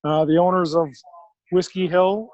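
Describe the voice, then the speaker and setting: A higher-voiced man speaks loud and eager from a noisy bar. His audio is dull and lo-fi but dry, with no room echo. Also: fairly even intonation, normal speed. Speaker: guest.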